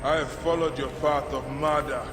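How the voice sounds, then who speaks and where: A man's voice: fairly high pitch, fairly even intonation, moderate pace, natural voice. An actor playing an intense, emotional, grieving and vengeful Egyptian warrior father in a video game. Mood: vengeful rage. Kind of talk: dramatic video game acting. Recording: echoey reverberant hall, loud music behind.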